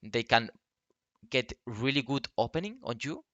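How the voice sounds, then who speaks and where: A man coaches through game strategy in non-native English. His voice is bright and forward, recorded very dry. He explains step by step in a halting, unscripted way, often checking that the listener follows. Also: mid-range voice, expressive, no room sound, highs cut off. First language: not English.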